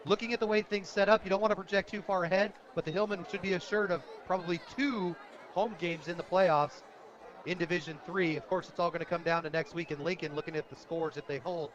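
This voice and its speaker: An American man doing live radio play-by-play of a football game in an energetic, conversational way. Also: high-pitched, quick pace, highs cut off. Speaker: radio play-by-play sports announcer. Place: outdoors at a high school football game. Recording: outdoor stadium broadcast with crowd noise. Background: crowd at a stadium.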